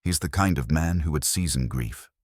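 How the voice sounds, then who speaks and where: A man voicing a calm, precise spice merchant in a detective story, measured and exacting. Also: extremely low pitch, husky, dry recording, clean studio sound, heavily acted. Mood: dry, disdainful.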